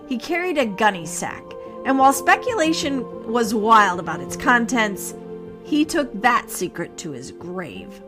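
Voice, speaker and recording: A woman of medium pitch narrates a scripted ghost story as a podcast host. Her delivery is measured and theatrical, with pauses placed for drama. Music plays clearly behind her voice.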